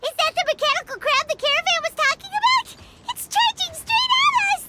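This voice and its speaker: Brief: an excitable fairy game sidekick, childlike voice way up high, over game music. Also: female voice. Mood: curious. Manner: excitable, quick to exclaim. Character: tiny floating fairy companion, chirpy and excitable. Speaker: video game companion character.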